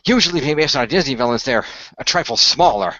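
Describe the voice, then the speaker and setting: A man playing a composed, knowledgeable leader of a secret hero team, speaking in measured, formal explanations. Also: medium pitch, expressive, bright, forceful tone, no echo. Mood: dry, composed. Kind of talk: amateur radio play acting. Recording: dry, slightly muffled home recording.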